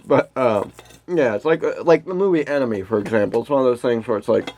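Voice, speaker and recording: A man's voice: mid-range voice, quick pace, darkish tone, slight background noise. A man co-hosting a podcast, talking movies in a casual, joking, rambling and profane way. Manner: profane, rambling, slips into mock voices. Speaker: podcast co-host. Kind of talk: casual comedic movie chat.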